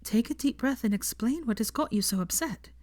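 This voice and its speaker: A woman's voice: a little high, lively intonation, fairly quick, dry recording, studio quality, voice pushed up, close to an everyday voice. A woman voicing an earnest, caring young woman. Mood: concerned. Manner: raised, earnest, gentle delivery.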